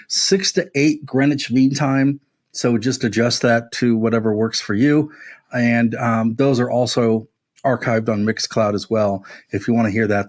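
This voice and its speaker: A man with a mid-pitched voice chats casually on a podcast. He is a co-host who also presents radio, and speaks in a conversational way. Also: a little mellow.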